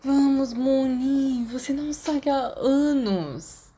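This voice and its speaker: A woman's voice: high-pitched, takes it slowly, warm tone, pitched above the performer's own voice. A Brazilian woman voicing a cocky, playful and haughty teenage schoolboy in an audiobook story. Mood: coaxing. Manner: teasing, haughty.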